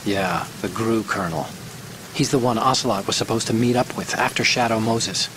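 A man's voice: lowish voice, normal speed, background noise, lightly acted. An actor playing an earnest, worried young male tech support partner in a dramatic video game. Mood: serious, concerned. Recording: music and rain behind.

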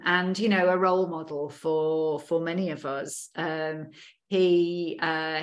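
A middle-aged woman co-hosting a podcast on a video call, reflecting in a measured, hesitant way with pauses mid-thought. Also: sits low. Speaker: podcast co-host. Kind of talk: reflective panel discussion. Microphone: video call microphone.

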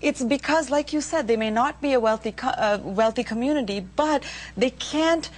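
A woman's voice: sits high, moderate pace. A woman in her thirties arguing insistently as a spokesperson in a studio panel debate. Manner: insistent, argumentative. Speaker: media spokesperson, panel guest. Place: TV studio round table. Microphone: lapel.

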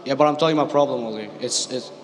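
A man's voice: mid-range voice, fast talker, a little hiss or hum. Young iraqi guy asking a question at a lecture, mid voice, bit hesitant. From Iraq. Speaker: audience member asking a question. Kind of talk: spontaneous question at a public lecture. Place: audience floor of a huge indoor auditorium. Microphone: stand microphone.

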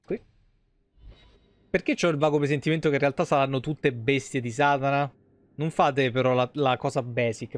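A man's voice: medium pitch, smooth. A young man doing casual, slangy live game commentary with some swearing. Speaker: game streamer. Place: small indoor room, webcam stream.

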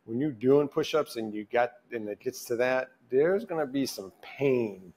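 A man's voice: mid-range voice, expressive, normal speed, a little mellow, breathy edge. A middle-aged man coaching a wrist mobility class in a home gym, giving cues and counting out holds, recorded by a camera some way off. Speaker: fitness coach.

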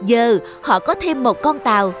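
A woman narrates a fairy tale for children in a fairly high voice, with light music behind her. The delivery is scripted storytelling. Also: animated, a little slow.

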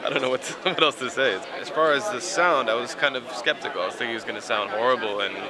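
A man's voice: medium pitch, fast. A man musician talking casually and searching for words about trying a new instrument. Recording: loud music and crowd behind.

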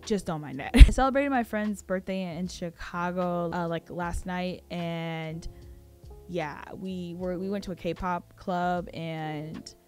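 Young woman reaction host, slightly hoarse, chatty and excitable, close studio mic in a small home studio. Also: lowish voice, slow, soft background music. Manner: excitable, chatty, exclaims and talks back to the screen. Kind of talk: casual reaction chat. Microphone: studio mic on boom arm, close.

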